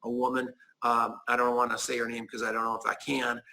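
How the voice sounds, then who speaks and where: A man's voice: medium pitch, completely flat delivery, fairly quick, creaky, sharp tone. A man in his sixties talking as an interview guest, with an emphatic, preachy manner that repeats key phrases. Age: 60s. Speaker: guest, business owner. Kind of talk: enthusiastic conversational pitch.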